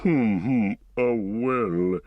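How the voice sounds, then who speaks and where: A man voicing an ancient, slow and thoughtful tree-shepherd in an audiobook, musing and humming as he speaks. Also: on the low side, dry recording, lo-fi sound. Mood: unhurried. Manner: slow, deliberate, musing with hums. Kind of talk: audiobook character reading.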